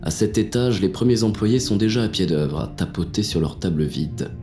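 Casting: male actor as world-weary, sardonic detective for noir audio fiction; low, mellow voice, slangy first-person delivery. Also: expressive, moderate pace, faint music behind. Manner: colloquial, sardonic. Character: jaded, cynical male police inspector, gruff and irreverent.

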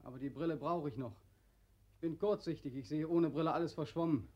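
An actor playing a tired, bitterly ironic young soldier back from war in a 1940s radio play, speaking quietly. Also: male voice, a little high, fairly quick, natural voice. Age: young adult. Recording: dull old radio recording.

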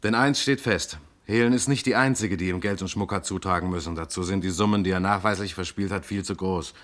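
An actor playing a self-assured police detective in an old German radio crime play, explaining things matter-of-factly. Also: male voice, low-pitched, fairly quick, a bit bright. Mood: explaining, composed.

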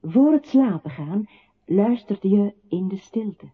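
A woman acting a composed, coolly analytical narrating voice in a literary radio play, speaking deliberately. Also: mid-range voice, lively intonation, breathy edge. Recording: tinny band-limited radio drama, music behind.

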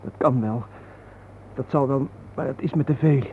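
A man's voice: mid-range voice, moderate pace, close to an everyday voice. An actor playing a weary, troubled family man in a radio drama, plainspoken and uneasy. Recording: narrow phone-like old radio recording, faint hiss.

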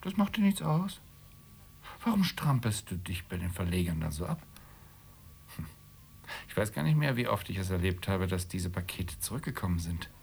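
An actor playing a blunt, nagging middle-class husband in a radio drama, with a gravelly, husky voice and a needling manner. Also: male voice, low voice, big pitch swings, moderate pace, long pauses, exaggerated voice.

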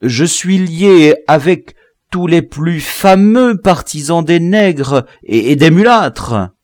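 A man's voice: a little high, lively intonation, slow pace, a little mellow, no echo, clean studio sound, pitched above the performer's own voice. A man voicing a servile, frightened colonial prisoner in an audiobook, stammering humbly and pleading. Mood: anxious, protesting. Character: cowardly, servile, trembling male colonial prisoner.

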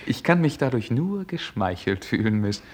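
An actor playing a courteous, naive young prince in a German radio play, speaking formally and earnestly with a hesitant, easily flustered manner. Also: male voice, medium pitch, big pitch swings, normal speed, darkish tone. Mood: formally polite.

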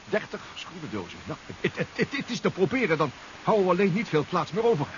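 Stammering, lively-pitched professor. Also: male voice, quick pace. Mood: hesitant, regretful. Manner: stammering, polite, fussy. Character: hesitant, courteous male professor piloting a submarine. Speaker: actor in a radio drama. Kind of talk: 1970s Dutch science-fiction radio play acting. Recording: dull, hissy 1970s radio recording.

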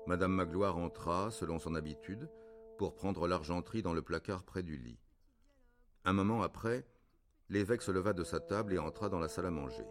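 A measured male storyteller played by an actor narrates a literary radio drama in a very low voice. Clear music plays beneath the narration, and the delivery stays formal and bookish. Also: lightly acted. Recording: music under the voice.

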